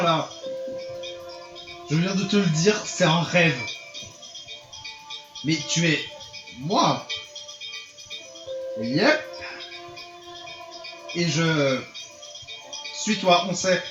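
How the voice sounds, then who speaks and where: Visual novel dream double, played by a man: high, loud, exasperated, cheeky, in an echoey room. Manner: loud, exasperated, foul-mouthed. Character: cheeky, irritable dream double of the young hero. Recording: muffled, roomy, faint music behind.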